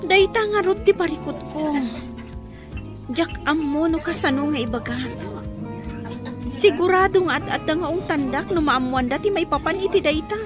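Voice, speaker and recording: A woman playing a brooding high-voiced schoolgirl. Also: lively intonation, moderate pace. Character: troubled teenage schoolgirl drinking to forget. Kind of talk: Ilocano audio drama. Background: music.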